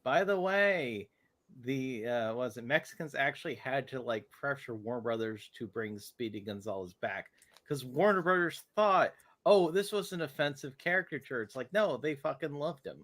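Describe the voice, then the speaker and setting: Man, bright voice, blunt, opinionated, casual stream talk. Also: mid-range voice, lively intonation, moderate pace.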